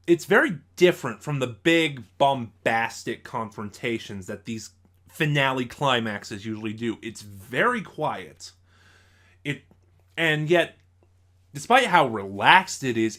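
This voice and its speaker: A man presents a casual review in an ordinary mid-pitched voice. He speaks haltingly, letting phrases trail off and then landing firmly on certain words. It sounds like an informal host talking through his opinions. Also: fairly bright tone. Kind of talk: casual spoken review of audio dramas.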